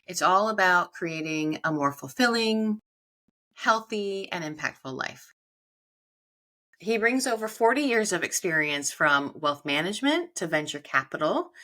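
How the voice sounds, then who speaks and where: A woman's voice: sits low, pushed and bright. Upbeat woman hosting a podcast. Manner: upbeat and enthusiastic. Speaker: podcast host. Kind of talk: scripted intro then interview chat.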